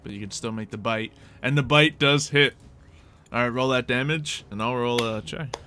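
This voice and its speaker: A man's voice: mid-range voice, normal speed, pushed and bright, a little hiss or hum. A man running a tabletop roleplaying game as game master, narrating in a playful, descriptive way among friends.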